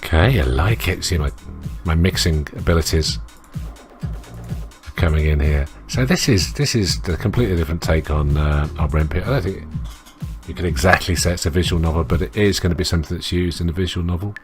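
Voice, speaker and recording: Very deep-voiced man over music. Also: fairly quick. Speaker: host of a gaming let's-play show. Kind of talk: casual let's-play commentary. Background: game music.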